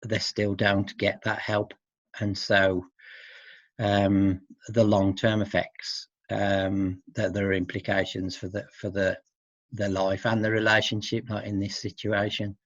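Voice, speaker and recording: A middle-aged man speaking as an expert guest in an informal interview, hesitant and halting, often seeking agreement with tag questions. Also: on the low side, little pitch movement, dry recording. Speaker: expert guest who works with men. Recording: dull, muffled lo-fi audio.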